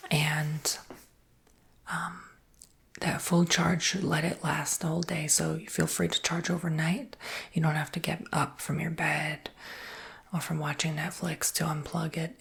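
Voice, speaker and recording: An American man performs an improvised ASMR sales roleplay in a whisper. His voice is extremely breathy and husky, with heavy crackle in it. He explains things softly, in an unhurried and reassuring way. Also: on the high side, level tone.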